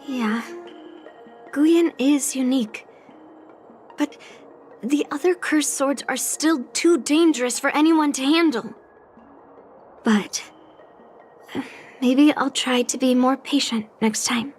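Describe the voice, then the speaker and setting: A woman's voice: high-pitched, slow, pauses often, faint music behind. An actress voicing a stubborn, determined young granddaughter and swordswoman in a video game. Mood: resolute, subdued. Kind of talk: scripted game voice acting.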